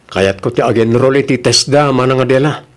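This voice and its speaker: A man's voice: mid-range voice, brisk, close to an everyday voice. An actor with an Ilocano accent playing an earnest, respectful young jobless man eager to work, in a radio drama.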